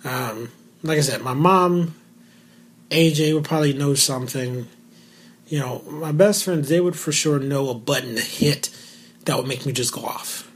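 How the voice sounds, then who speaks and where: A man with a naturally raspy voice, hosting his own talk show alone, talking candidly and cracking asides. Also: medium pitch, normal speed. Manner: candid and joking, with asides and filler words. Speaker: podcast host. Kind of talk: solo casual talk show.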